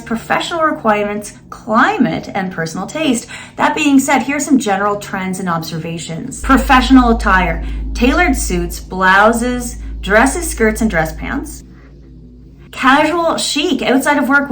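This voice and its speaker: A woman's voice: fairly high pitch, fairly bright tone. A woman in her late thirties, a vlogger who reads search results aloud and pokes fun at them with dry, sarcastic asides. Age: 30s. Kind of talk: casual vlog reading and commenting.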